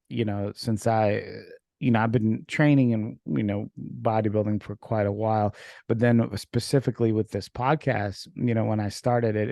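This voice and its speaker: A man from Ukraine whose first language is Russian, hosting a podcast over a video call in relaxed, filler-heavy chat. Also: on the low side, creaky, no room sound, clean studio sound. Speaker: podcast host. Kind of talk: casual interview chat.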